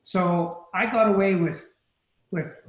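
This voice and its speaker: Older man, sixties, candid storyteller, slightly high voice, echoey room, video call. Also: fairly even intonation, takes it slowly, dull audio. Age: 60s. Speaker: panelist. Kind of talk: video call panel talk.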